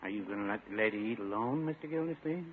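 An actor playing a dry, slyly stubborn older small-town druggist in a 1950s radio comedy, folksy and deliberate. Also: male voice, lowish voice, lively intonation, lightly acted. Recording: very noisy, muffled 1950s radio recording.

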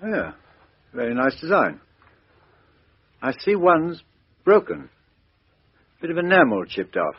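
An actor playing a calm, methodical male police chief inspector who questions in a measured, coolly ironic way, in a 1950s radio drama. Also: on the high side, slightly rough, full character voice. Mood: probing. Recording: dull lo-fi old radio recording.

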